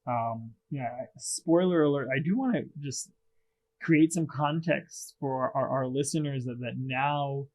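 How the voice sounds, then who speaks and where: A man hosting an interview, asking questions in a measured, hesitant way. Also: mid-range voice, darkish tone. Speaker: podcast host, psychiatrist.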